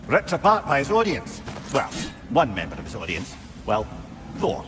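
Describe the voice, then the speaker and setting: A man with a Scottish accent playing a wry, learned old talking head in a video game, telling tales in a measured way. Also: mid-range voice, wildly swooping pitch, noisy background. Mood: storytelling. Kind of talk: video game character acting. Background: music.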